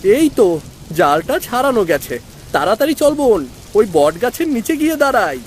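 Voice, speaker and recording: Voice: mid-range voice, very animated, moderate pace, slight background noise, close to an everyday voice. An actor voicing a brave, protective big brother, a village boy in a cartoon story. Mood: urgent.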